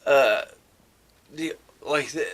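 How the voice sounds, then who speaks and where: A man hosting a casual, rambling podcast chat, haltingly searching for words and often repeating his phrases. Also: medium pitch, a bit bright. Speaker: podcast host.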